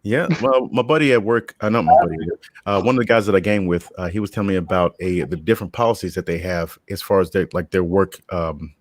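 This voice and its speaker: A man's voice: low-pitched, fast talker, no echo. A man co-hosting a casual chat, laid-back and laughing often as he tells stories. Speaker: podcast co-host.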